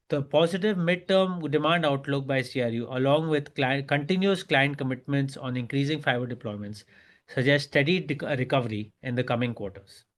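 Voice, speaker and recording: Male corporate presenter, Indian accent, medium pitch, formal scripted earnings-call delivery. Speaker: company executive presenter.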